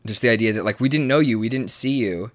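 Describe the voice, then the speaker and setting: A man's voice: medium pitch, fast talker. A man in his late twenties talking in a casual chat, rambling and often restarting his words, with sarcastic asides. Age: 20s. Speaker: guest. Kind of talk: casual conversation.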